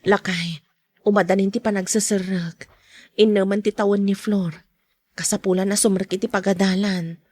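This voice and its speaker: A woman's voice: mid-range voice, fairly even intonation, moderate pace, a little mellow, close to an everyday voice. A woman playing a caring, money-worried wife and mother in a podcast drama. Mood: concerned. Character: caring wife and mother, anxious about money, soft-spoken.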